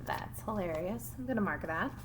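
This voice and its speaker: A woman teacher giving a read-aloud lesson to young children, expressive and instructive, thinking aloud as she goes. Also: mid-range voice, normal speed, noisy background. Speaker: primary school teacher.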